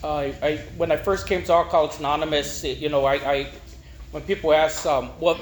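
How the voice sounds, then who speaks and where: A middle-aged man gives an informal personal testimony, rambling and haltingly repeating words. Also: on the high side, steady tone. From East Los Angeles, United States. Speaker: speaker at a recovery meeting. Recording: echoey room with faint noise.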